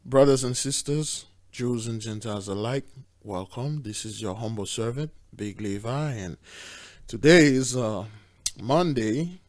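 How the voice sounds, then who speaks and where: A man with a raspy voice hosting a religious video, preaching with emphatic stress on key words. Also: medium pitch, a little slow, a little mellow, dry recording. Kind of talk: preaching commentary. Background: faint music.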